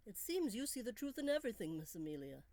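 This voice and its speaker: A woman voicing a charming, intense Victorian gentleman in a storybook, courtly, romantic and deliberate. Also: medium pitch, sing-song, no room sound, pitched above the performer's own voice. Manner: courtly, deliberate, sometimes hushed.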